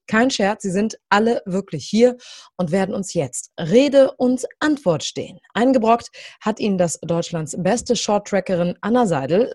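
A woman's voice: mid-range voice, warm tone, no room sound, clean studio sound. A woman hosting a podcast, welcoming her guests in an upbeat, chatty way. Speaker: podcast host. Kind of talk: podcast interview, casual chat.

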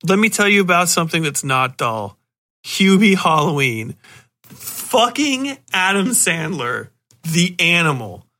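A man's voice: sits high, sing-song, unhurried, bright, forceful tone. A man hosting a podcast, giving a casual, rambling film review in a blunt, profane, sardonic way with exasperated asides. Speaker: podcast host reviewing horror films. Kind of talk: casual spoken movie review.

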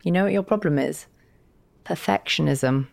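A frank and wry woman who coaxes her writer partner along. Her voice sits fairly low. She is articulate and encouraging, in a natural audio drama style. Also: normal speed, vocal fry, darkish tone. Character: candid, witty, encouraging female partner of a writer. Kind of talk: naturalistic audio fiction acting.

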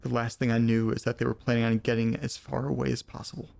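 Uneasy male actor as audio drama letter reader, creaky medium voice, deliberate storytelling, troubled and foul-mouthed. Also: medium pitch, level tone, a little mellow. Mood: tense, haunted. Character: troubled man reading strange letters aloud, profane and uneasy.

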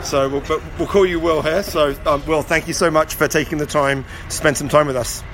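A man interviewing a rugby player in a casual way, halting with frequent ums. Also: on the high side, steady tone, a bit bright, a little hiss or hum.

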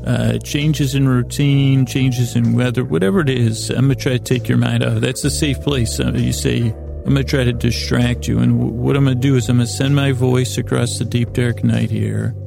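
A man with a creaky, gravelly voice hosting a sleep podcast, meandering and halting as he talks, often stammering and repeating his words. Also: medium pitch, steady tone, music in the background. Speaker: podcast host. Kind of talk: rambling sleep podcast monologue.

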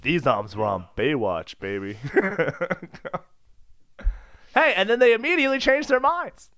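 A man's voice: sits high, animated, a little slow, a bit bright. A man co-hosting a comedy podcast, casual and jokey, often close to laughing as he talks. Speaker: co-host.

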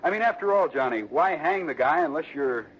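A man's voice: fairly high pitch, animated, fairly quick, close to an everyday voice. A male actor plays a fair-minded American insurance salesman in a vintage radio drama. He talks colloquially, hesitating and hedging as he explains. The old recording is muffled, with faint noise. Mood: hesitant, hedging. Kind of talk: early 1960s American radio drama acting.

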